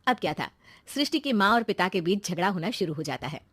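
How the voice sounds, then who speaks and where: A woman's voice: fairly low pitch, very animated, fairly quick, a bit bright, breathy edge, no room sound, clean studio sound. A woman narrating a scripted moral story.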